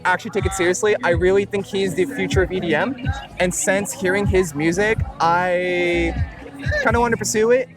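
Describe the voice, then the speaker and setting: A young man with a fairly high voice, his first language Tagalog, gives a laid-back, rambling interview, filling his sentences with "like." He is recorded outdoors at the beach, and music plays clearly underneath him. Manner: relaxed, rambling, many "like" fillers. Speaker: music producer, guest. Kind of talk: casual interview. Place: outdoors at a beach, traffic nearby.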